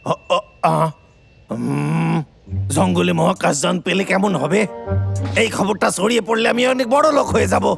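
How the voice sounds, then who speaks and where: Cartoon schemer: money-hungry, excitable, creaky high voice. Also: male voice, measured pace, heavily acted. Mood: scheming. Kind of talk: animated cartoon dubbing. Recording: music behind the voice.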